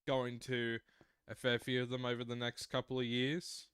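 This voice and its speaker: A man's voice: medium pitch, monotone, moderate pace, a bit bright, clean tone, no room sound. An Australian man in his mid-twenties, chatting casually and rambling through explanations while streaming a game. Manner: rambling, explains at length with restarts. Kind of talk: casual livestream chat while gaming.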